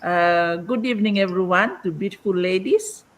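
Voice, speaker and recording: A woman's voice: on the low side, moderate pace. Older Fijian woman guest, hesitant. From Fiji. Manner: hesitant, with fillers. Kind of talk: live interview introduction.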